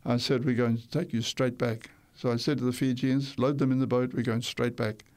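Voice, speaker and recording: An older man recounts his life in a radio interview, speaking at a middling pitch. He rambles a little, restarts and corrects himself, and chuckles now and then. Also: level tone, a little fast, a little mellow. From Tonga. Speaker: interview guest.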